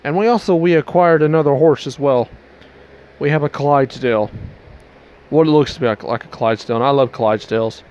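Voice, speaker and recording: A man's voice: mid-range voice, a little fast, a little mellow, clear, resonant tone, dull audio. A young man with a headset mic, rambling casually as he wraps up a game stream in a small bedroom. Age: young adult. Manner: rambling, loose restarts, Southern y'all. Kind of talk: casual stream outro to camera.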